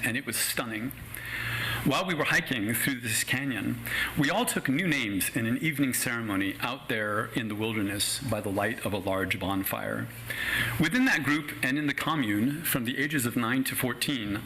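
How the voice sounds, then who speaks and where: A middle-aged American man from Los Angeles, a native Spanish speaker, giving a prepared personal talk as a guest speaker in an articulate, measured way with wry asides. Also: mid-range voice, bright, forceful tone, slight background noise, rough recording. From Los Angeles County, United States.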